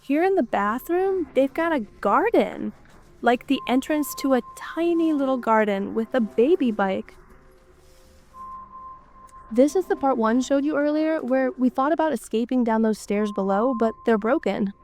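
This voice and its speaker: A woman's voice: sits high, animated, measured pace, darkish tone. A woman with a stuffy nose from a cold, narrating her walk through an abandoned house in a chatty way and often exclaiming in amazement. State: congested from a cold. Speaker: urban explorer vlogger. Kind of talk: casual guided tour narration.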